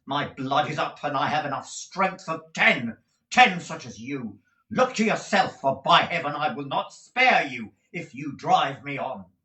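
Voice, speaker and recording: A man voicing a fiery young man who defies a cruel schoolmaster, declaiming passionately and loudly. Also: a little high, normal speed, reverberant, voice pushed up, natural voice, low-quality recording. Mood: defiant. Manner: passionate, loud, forceful declaiming.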